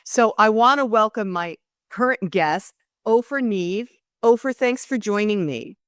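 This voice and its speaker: A woman's voice: medium pitch, animated, measured pace, fairly bright tone, no echo, studio quality. A woman hosting an interview podcast, talking earnestly with many fillers and restarts. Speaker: podcast host.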